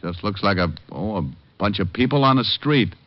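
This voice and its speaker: An actor playing a wisecracking private detective who also narrates, in a 1950s radio drama. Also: male voice, fairly low pitch, animated, normal speed, close to an everyday voice. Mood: joking. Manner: dry wisecracks, laconic. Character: wisecracking tough private detective, adult man. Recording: dull old radio recording.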